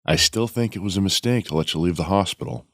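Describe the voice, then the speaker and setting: An actor playing a protective, cautious friend in an audio drama, plain-spoken and grumbling. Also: male voice, sits low, quick pace, a bit bright, no echo, clean studio sound. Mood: wary grumbling.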